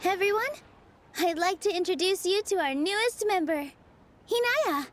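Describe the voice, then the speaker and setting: A woman voicing a gentle, earnest young theater dancer in a video game, speaking softly and sincerely. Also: very high voice, moderate pace, a little hiss or hum. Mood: cheerful. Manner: soft, sincere, wistful storytelling. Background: game music.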